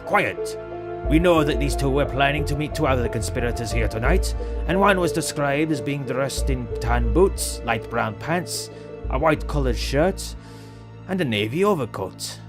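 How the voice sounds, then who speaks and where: A man's voice: medium pitch, animated, moderate pace, close to an everyday voice. A man playing a stern, suspicious soldier who gives commands and accusations in an audio drama. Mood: stern, accusing. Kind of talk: fan audio drama acting. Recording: music underneath.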